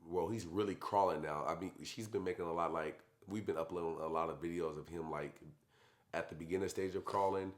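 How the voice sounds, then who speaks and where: A young man and father chatting casually in a family vlog, hoarse from being sick, talking in a laid-back, slangy way. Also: low voice, fairly even intonation, a little fast. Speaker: vlogger, father. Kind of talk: casual chat.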